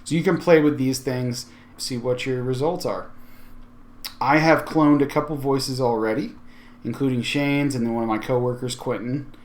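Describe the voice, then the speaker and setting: A man with a mid-pitched voice hosts a software tutorial. He talks informally and conversationally, thinking aloud as he walks through each step. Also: steady tone, moderate pace. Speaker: tech video host. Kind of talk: casual software tutorial walkthrough.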